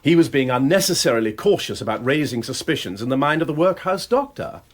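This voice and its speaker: A man's voice: medium pitch, animated, fast, close to an everyday voice. A man playing a nimble-witted aristocratic detective in a British radio drama, with an upper-class English accent and an airy, wordy, self-deprecating manner. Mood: brisk, assured. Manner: airy, wordy, drily teasing.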